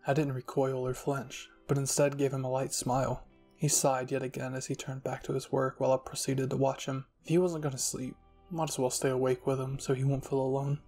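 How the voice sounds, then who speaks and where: A man narrating a scripted fan fiction story in a soft ASMR style as a channel host, with music behind him. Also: mid-range voice.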